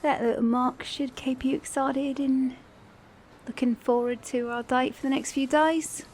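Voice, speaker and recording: A woman's voice: sits high, fairly even intonation, unhurried, slight background noise. A woman with a mixed Australian and British accent playing a flirty, sassy, teasing car mechanic in an ASMR romance roleplay. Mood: teasing, affectionate. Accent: Australian-British mix. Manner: flirty, teasing, playful, with soft chuckles.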